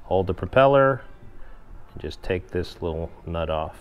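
A man's voice: low-pitched, measured pace, highs cut off, music in the background. A man casually walking viewers through a step-by-step install in a garage workshop, talking straight to them. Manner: relaxed, explains step by step, addresses viewers directly. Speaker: kayak fishing vlogger. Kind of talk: casual hands-on tutorial.

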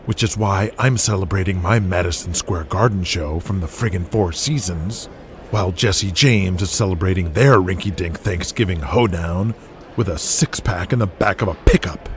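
An actor playing a ruthless, controlling wrestling promoter in an audio drama, speaking in a cold, lecturing manner. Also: male voice, low voice, moderate pace, a little hiss or hum, lightly acted.

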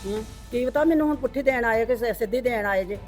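A woman playing a stubborn middle-aged mother-in-law in a family drama skit, talking in a sharp and dismissive way. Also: on the high side, quick pace, faint music behind, lightly acted. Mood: scolding.

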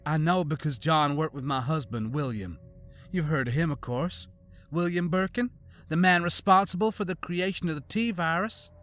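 A man's voice: a little high, normal speed, faint music behind, pitched above the performer's own voice, lightly acted. Man voicing a grief-mad woman scientist. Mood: bitter. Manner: cold, snarling, breaking into shouts.

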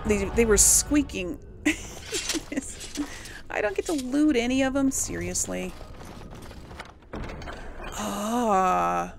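A woman's voice: mid-range voice, expressive, slow, gravelly, pauses often. A woman giving casual gameplay commentary, thinking aloud in trailing fragments over game music. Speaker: gameplay host.

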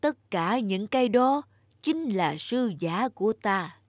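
A woman's voice: on the high side, expressive, slow pace, no room sound, clean studio sound. Woman voicing a solemn, stately Grim Reaper. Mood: stern. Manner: formal, stately. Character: solemn, commanding Grim Reaper who shows gratitude. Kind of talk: cartoon folk-tale acting.

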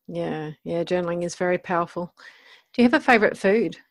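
A woman's voice: on the low side, a little fast, no echo. A woman hosting a podcast, interviewing a guest in a conversational style.

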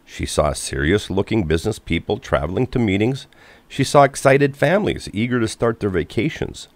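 A man narrating a children's storybook from a script in an expressive, clearly paced manner. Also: on the low side, normal speed, dry recording.

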